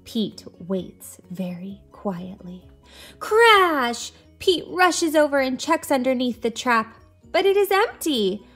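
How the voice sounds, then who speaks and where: A woman in her thirties reading and teaching for young children in an expressive, patient way. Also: high voice, slow, reverberant, faint music behind. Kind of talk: children's read-aloud and lesson.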